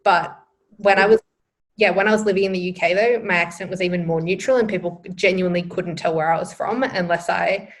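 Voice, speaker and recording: A young Australian woman with an Australian accent, co-hosting a casual podcast chat, chatty and quick to laugh. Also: on the low side, steady tone. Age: young adult. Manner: chatty, laughs often, self-deprecating. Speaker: podcast co-host.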